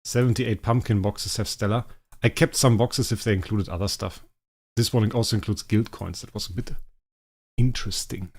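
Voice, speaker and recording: A young man, a native German speaker, casually thinking aloud as he streams a game, his sentences often trailing off quietly. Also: on the low side, fairly even intonation, moderate pace. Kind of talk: casual livestream commentary.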